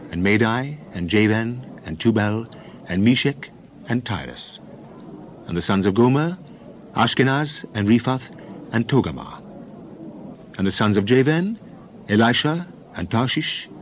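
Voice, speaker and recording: A man's voice: mid-range voice, slight background noise. A man narrating dramatized scripture, declaiming it in short, separated phrases.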